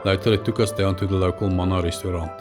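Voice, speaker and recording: A man reading a measured, factual travel voice-over as a pilot narrator. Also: low voice, little pitch movement. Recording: voice-over with music behind.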